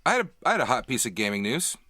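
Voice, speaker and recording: A man banters casually on a podcast. His voice is of average pitch but moves around a lot, with a bright edge. The sound is close and dry, with no room on it. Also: very animated, rapid-fire, no echo. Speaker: podcast co-host.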